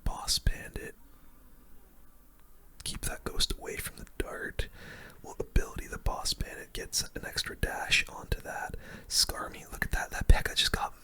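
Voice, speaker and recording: Whispering ASMR gamer, bright and breathy. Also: unhurried. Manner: casual, slangy. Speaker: gaming video host. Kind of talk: whispered ASMR game commentary.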